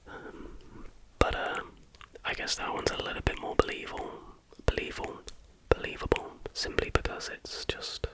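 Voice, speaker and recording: A man whispering in a breathy, hushed voice, reading aloud informally in an ASMR style. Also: normal speed, poor audio. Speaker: ASMR host. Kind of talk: whispered ASMR reading of stories with casual asides.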